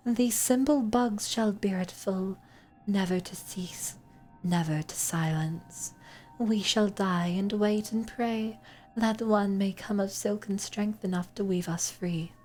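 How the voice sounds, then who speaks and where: A woman with a New Zealand accent voicing an ancient, dying spider sisterhood from a video game, in a slow, hushed, lamenting delivery. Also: mid-range voice, steady tone, soft background music, pitched below the performer's own voice, lightly acted. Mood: mournful.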